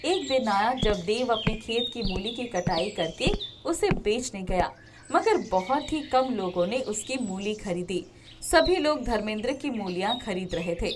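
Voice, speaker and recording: A woman's voice: mid-range voice, lively intonation, unhurried, noisy background. A woman narrating a scripted moral story as a storyteller.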